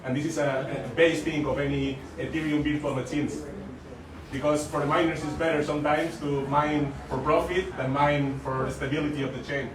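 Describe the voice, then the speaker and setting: A man's voice: fairly high pitch, flat delivery, moderate pace. A man in the audience explaining a technical point, padding with "like" and "uh". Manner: explains with many likes and uhs. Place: conference hall. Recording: echoey hall, far from mic, crowd behind.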